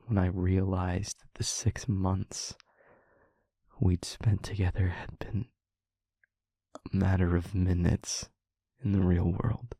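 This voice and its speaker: A low, gravelly male demon, acted out by a man, trapped and anguished, confesses to someone he loves. He speaks slowly and haltingly in an intimate audio drama, each phrase heavy with feeling. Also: level tone, warm tone, no room sound, exaggerated voice. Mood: pained, regretful. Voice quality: husky, breathy, gravelly half-whisper. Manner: halting, slow, emotionally weighted delivery.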